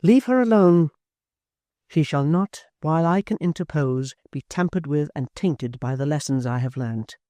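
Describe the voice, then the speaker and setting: A proud, bitter young woman who speaks in a low, steady, measured voice, as a male reader plays her. The tone is mellow and slightly raised for a man, from a storybook reading. The sound is dry and close. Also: dry recording, close to an everyday voice. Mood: cold. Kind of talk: audiobook character voice.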